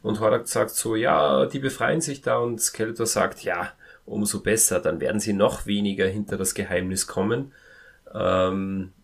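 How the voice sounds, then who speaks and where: A man in a casual podcast discussion, speaking haltingly as he searches for his words. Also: on the low side, fairly even intonation, creaky, slightly rough.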